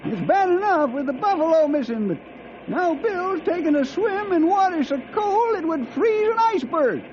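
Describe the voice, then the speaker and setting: An actor playing a cranky, folksy old sidekick who frets and grumbles in rustic grammar in an old-time radio drama. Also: male voice, very high-pitched, animated, moderate pace, a little mellow, slight background noise. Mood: baffled. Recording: dull old radio recording.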